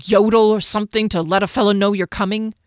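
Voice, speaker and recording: A woman voicing a grumpy, vengeful male mercenary chief, talking in a gruff, curt and forceful way. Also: mid-range voice, level tone, quick pace, no echo, clean studio sound, natural voice. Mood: angry. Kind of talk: audiobook character voice.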